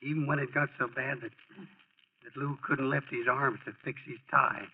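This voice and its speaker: A man's voice: medium pitch, steady tone, normal speed, breathy edge. An actor playing a folksy, slangy, protective male friend in a 1940s-style radio drama. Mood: somber. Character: loyal sportswriter friend, folksy, reassuring, protective man. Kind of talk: 1940s radio acting, dialogue and narration. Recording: muffled old radio broadcast recording.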